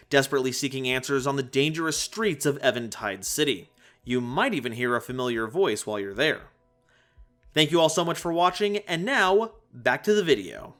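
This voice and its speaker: Male explainer host, high-pitched and very lively, playful emphasis, music underneath. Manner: animated, playful, emphatic delivery. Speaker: host of a card game analysis video. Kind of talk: scripted explainer narration with jokes. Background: background music.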